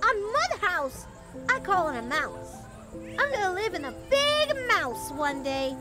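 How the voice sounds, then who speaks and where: A woman's voice: extremely high pitch, very animated, slow pace, loud music behind the voice, heavily acted. A woman voicing a sweet, earnest, anxious little girl in a fantasy audio drama, with a hesitant, innocent way of talking. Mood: playful. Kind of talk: fantasy audio roleplay acting.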